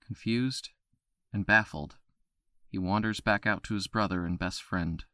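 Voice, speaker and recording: A man giving steady, descriptive scripted narration for an audio drama. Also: fairly low pitch, unhurried, dry recording, clean studio sound.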